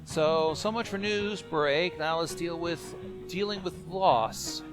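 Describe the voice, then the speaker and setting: A man's voice: on the high side, slow, music in the background, rough recording. A man co-hosting a reaction show, chatting casually and reading on-screen text aloud.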